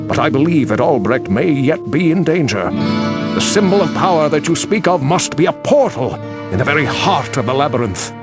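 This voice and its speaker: An actor playing a grave elderly sage in a fantasy game, speaking in a theatrical, portentous and urgent way. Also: male voice, a little high. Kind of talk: dramatic fantasy game voice acting. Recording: music under the voice. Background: loud guitar music.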